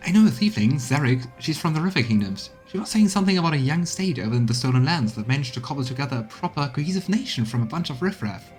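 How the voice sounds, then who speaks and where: A man's voice: fairly high pitch, sing-song, fast talker, few pauses, a little mellow, faint music behind. A man voicing a breezy, sly, roguish adviser, reading video game dialogue in a slangy, offhand way.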